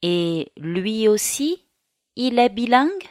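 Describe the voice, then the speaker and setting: A woman reading the part of a young French law student in a slow learner's dialogue. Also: on the high side, big pitch swings, darkish tone, dry recording, studio quality. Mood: friendly. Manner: slow, carefully articulated reading.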